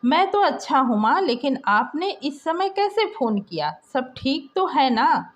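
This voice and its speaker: A woman's voice: high-pitched, big pitch swings, a little slow, voice pushed up. A woman voicing a caring, obedient young man in an audio story, earnest, concerned and reassuring toward his mother. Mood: worried.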